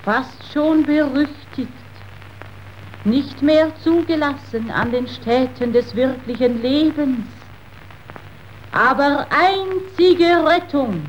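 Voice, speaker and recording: Very slow, high-voiced missionary. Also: female voice. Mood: solemn fervour. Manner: solemn, preaching, deliberate. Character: solemn, fervent young female missionary leader. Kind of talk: declaimed verse radio play acting. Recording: muffled, faintly noisy old radio recording.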